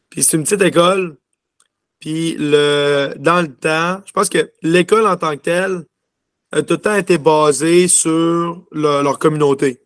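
A man's voice: on the high side, a bit bright, clean tone, dry recording, clean studio sound. A young man from Quebec with a Quebec French accent, chatting casually as an interview guest and rambling with lots of filler words. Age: young adult. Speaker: interview guest, junior hockey player.

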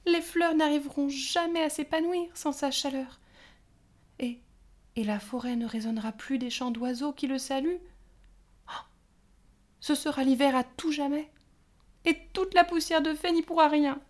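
A woman's voice: fairly even intonation, moderate pace, pauses often, lightly acted. A woman doing a frightened little fairy. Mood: worried. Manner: high, tearful pleading. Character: anxious little fairy girl, fearful and pleading.

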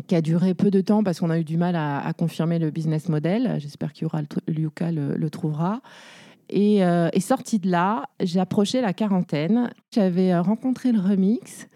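Soft-toned woman in her forties. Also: lowish voice, no room sound, studio quality. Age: 40s. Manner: chatty, many fillers and restarts. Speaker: guest, entrepreneur. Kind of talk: casual interview storytelling.

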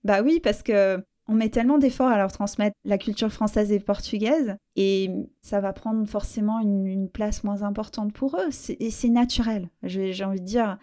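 Soft-toned French woman, reflective. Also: mid-range voice, fairly even intonation. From France. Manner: reflective, halting with fillers and restarts. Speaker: interview guest. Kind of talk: personal conversational interview.

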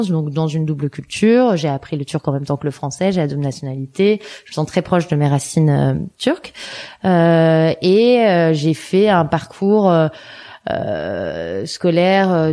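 A woman in her thirties from the Paris region, speaking as an interview guest in a relaxed, reflective way, pausing often to find her words. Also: deep voice. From Paris region, France. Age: 30s. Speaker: guest, entrepreneur. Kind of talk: casual conversational interview.

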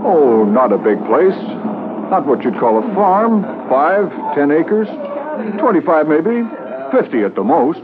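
An eager, dreamy husband close to retirement, with a fairly high, lively voice. He muses casually in 1950s radio drama fashion, on a tinny recording with noise behind him. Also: unhurried. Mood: dreamy, musing. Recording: noisy narrow-band 1950s radio transcription.

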